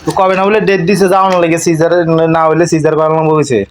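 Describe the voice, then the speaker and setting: A man's voice: fairly high pitch, steady tone, fast talker. A man with an eastern Bengali rural dialect chatting casually, colloquial and questioning, often repeating himself. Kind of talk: casual phone chat.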